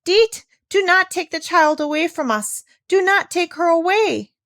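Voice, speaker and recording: Storybook grandmother, played by a woman: elderly, fretful, high-pitched, loud and pleading. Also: expressive, measured pace, no room sound, clean studio sound, heavily acted. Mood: beseeching. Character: frightened, agitated old blind grandmother.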